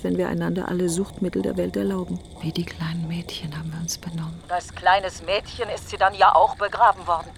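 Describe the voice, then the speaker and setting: An actress in a radio play monologue, playing a bitter, grieving woman alone after her best friend's funeral, speaking in halting, clipped, literary fragments that often sink toward a breathy whisper. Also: on the low side, a little hiss or hum, natural voice. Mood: bitter, accusing.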